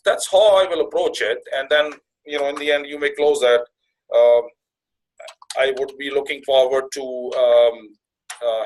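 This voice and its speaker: Halting man giving an informal walkthrough. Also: medium pitch, rough recording. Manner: halting, often repeats and restarts phrases. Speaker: career coach presenting to conference call members.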